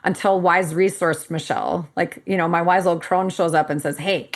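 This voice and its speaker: A woman's voice: fairly low pitch, fairly even intonation, moderate pace. A woman in her fifties, a podcast co-host, talking candidly and animatedly with frequent laughs and casual swearing. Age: 50s. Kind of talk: casual conversation.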